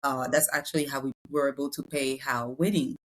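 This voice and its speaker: A woman's voice: a bass voice, steady tone, fairly quick, rough recording. A woman from Congo, raised in France, with a French accent, talking at length in a podcast interview as a real estate broker, with many fillers and restarts.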